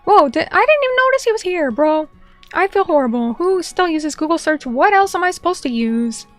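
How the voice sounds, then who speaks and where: A woman's voice: very high voice, sing-song, fairly quick, faint music behind. A young woman streamer chatting casually and reading viewer comments, bubbly and chatty, greeting people by name.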